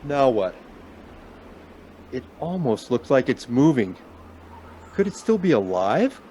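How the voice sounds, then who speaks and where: A man playing a stubborn, guilt-ridden husband in a radio play, halting as he talks his way through a snowstorm. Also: medium pitch, very animated, dark tone, a little hiss or hum. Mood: wary, bewildered. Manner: halting, muttering to himself.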